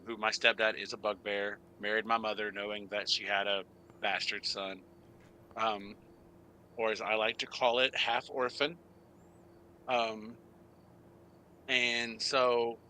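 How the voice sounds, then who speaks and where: Male tabletop player, very bright, forceful low voice, halting in-character storytelling, faint background noise. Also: rough recording. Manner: halting, self-correcting, searching for words.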